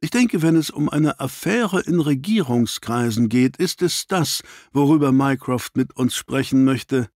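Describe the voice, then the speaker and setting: A man voicing a shrewd, dry-witted gentleman detective in an audiobook, speaking formally and inquisitively. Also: mid-range voice, expressive, unhurried, hardly any pauses, no room sound, natural voice. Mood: keen, dry.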